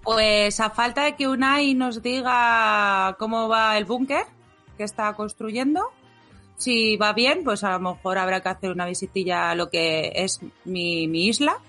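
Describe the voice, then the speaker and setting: A woman's voice: mid-range voice, a little slow, pushed and bright, faint music behind. A woman from Madrid chatting as a guest, talkative and self-mocking. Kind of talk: casual chat.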